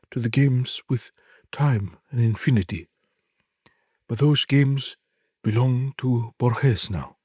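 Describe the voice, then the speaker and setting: A man with a husky voice giving a deliberate, solemn dramatic reading of literary short stories, with measured pauses. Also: medium pitch, darkish tone, dry recording, studio quality.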